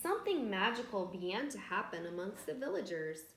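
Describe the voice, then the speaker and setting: A woman reading a picture book aloud in an expressive, deliberate, teacherly way, stressing key words and adding asides for young listeners. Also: mid-range voice. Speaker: read-aloud storyteller. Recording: echoey room with faint background noise.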